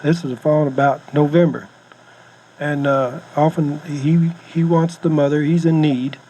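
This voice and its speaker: A man's voice: on the high side, steady tone, a little slow, dark tone, slightly rough. An American man giving informal hunting instruction on a cassette tape, with plainspoken, folksy phrasing. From United States. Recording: noisy home-style cassette tape recording.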